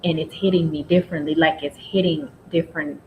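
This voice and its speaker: A woman's voice: steady tone, fairly quick, muffled recording. A woman from Nicaragua whose first language is Spanish, chatting casually and earnestly as a guest, often repeating herself. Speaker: guest, recovery retreat team member. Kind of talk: casual heartfelt chat. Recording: background rumble and noise.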